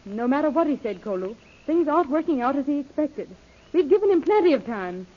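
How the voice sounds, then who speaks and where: High-pitched headstrong young heroine. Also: female voice, big pitch swings, brisk. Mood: determined. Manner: dramatic, insistent. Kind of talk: old-time radio serial acting. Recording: dull lo-fi old radio recording.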